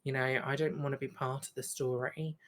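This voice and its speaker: A woman's voice: a bass voice, little pitch movement, brisk. A woman co-hosting a podcast, chatting casually through a review in a hesitant, giggly way. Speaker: podcast co-host.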